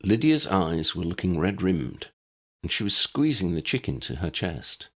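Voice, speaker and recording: A man's voice: deep voice, sing-song, normal speed, fairly bright tone. A man reading scripted story narration as an audiobook narrator.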